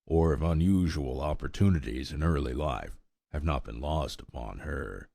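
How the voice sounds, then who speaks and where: A man voicing a sorrowful, resigned old mare in a storybook reading, speaking slowly with sighs. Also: lowish voice, big pitch swings, a little mellow, no echo, clean studio sound. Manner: slow, sighing, world-weary.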